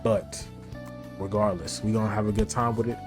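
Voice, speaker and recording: A man's voice: on the low side, little pitch movement, fairly quick. An American man streaming a casual, slangy reaction to a game story, with a slightly stuffy nose. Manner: slangy, informal, hyped asides. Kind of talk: casual livestream reaction commentary. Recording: game music behind the voice.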